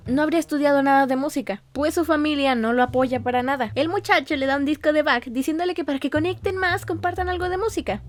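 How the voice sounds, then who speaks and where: A woman narrating a story recap and analysis as a video essayist. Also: high voice. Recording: music under the voice.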